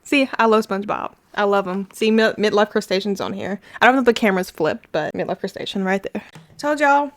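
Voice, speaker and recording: A woman's voice: medium pitch, brisk. A young woman hosting a video essay, mixing scripted commentary with casual, emphatic asides and repeating herself for emphasis.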